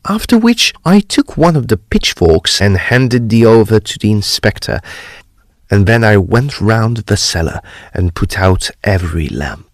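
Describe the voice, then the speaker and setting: A man playing a courteous, precise gentleman ghost hunter, telling his gothic tale in a formal, deliberate, expressive way. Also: lowish voice, no room sound, studio quality, heavily acted. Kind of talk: dramatised gothic storytelling.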